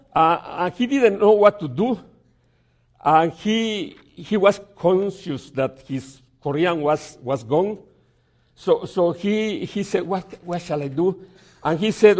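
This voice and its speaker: A man giving a sermon as a guest preacher in a church, telling a story in a halting way with many restarts and some gentle humor. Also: a little high.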